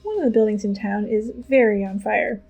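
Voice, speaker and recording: A woman playing a composed, decisive teenage schoolgirl in an audio drama, speaking in a matter-of-fact, deadpan way. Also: medium pitch, fairly quick, gravelly, husky, phone-quality sound, soft background music. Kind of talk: audio drama, understated dialogue.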